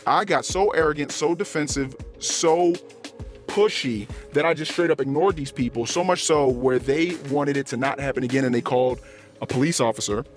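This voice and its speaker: A man's voice: mid-range voice, normal speed. A middle-aged man hosting a podcast, delivering an unscripted personal rant with emphatic, repetitive phrasing and swearing. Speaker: podcast host. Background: music.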